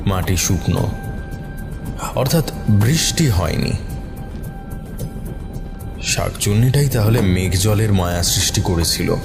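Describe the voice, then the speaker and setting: A male narrator reads a horror story with dramatic delivery. His voice sits somewhat low. Music is clearly audible behind him. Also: lively intonation, slow pace, long pauses.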